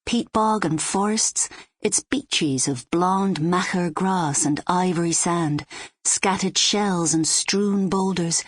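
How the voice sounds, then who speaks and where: A Scottish woman narrates a literary audiobook in a measured, descriptive way. Her voice sits fairly low and has a slightly husky edge. The recording is very dry and close. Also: dry recording.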